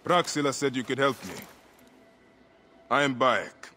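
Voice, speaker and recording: A man's voice: mid-range voice, expressive, a little slow, sharp tone, big-room sound, a little hiss or hum. An actor voicing a grim, relentless warrior father seeking vengeance in a video game cutscene, terse and solemn.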